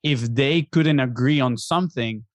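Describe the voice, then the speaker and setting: An earnest man in his thirties, originally from Venezuela, laying out his thinking as a podcast guest. He talks at a middling pitch and keeps tagging on "right?". The recording is close and dry. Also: moderate pace, no room sound. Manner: earnest, explaining, often ends points with "right?". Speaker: guest, business co-founder. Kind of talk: casual interview conversation.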